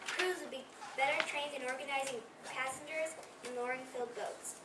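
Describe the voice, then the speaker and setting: Female readers theater narrator, very high voice, roomy echoing recording. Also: steady tone, a little fast, far from the mic. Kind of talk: scripted narration read aloud. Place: library readers theater performance, indoors. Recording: echoey room from afar, noisy.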